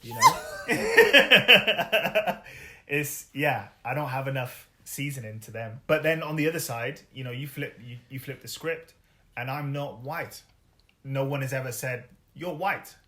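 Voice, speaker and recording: Young man with a bright tone, laid-back guest in an echoey room. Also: mid-range voice, sing-song, unhurried. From Wales. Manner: easygoing, laughs often, trails off with fillers. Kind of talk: casual interview chat.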